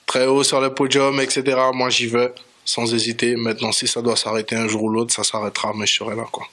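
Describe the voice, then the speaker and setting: A man's voice: medium pitch, flat delivery, fast, bright, forceful tone. A man from French Guiana, a reggae dancehall artist, talking casually as a guest in a radio studio interview.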